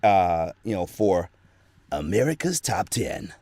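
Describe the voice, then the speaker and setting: A man's voice: low voice, very animated, slow, dark tone, no echo. A middle-aged man, a voice actor, chatting casually as an interview guest, telling nostalgic stories in a rambling way with many restarts.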